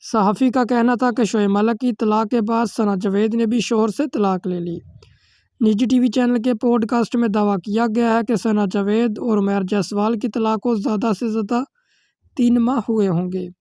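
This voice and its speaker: Woman, Pakistani accent, scripted news narration, high pitch, dark soft-edged tone, steady formal reading. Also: normal speed, no room sound, clean studio sound. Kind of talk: scripted celebrity news voice-over.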